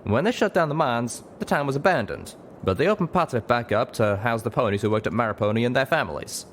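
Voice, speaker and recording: Man doing a high-pitched cowboy pegasus. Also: expressive, fast, fairly bright tone, a little hiss or hum, natural voice. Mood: informative. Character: wounded young male pegasus, folksy, cocky frontier cowboy.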